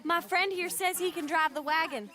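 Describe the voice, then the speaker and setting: A woman's voice: extremely high pitch, normal speed, bright, forceful tone. An actress playing a determined young woman activist, speaking in an earnest, firm way. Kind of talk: video game cutscene acting.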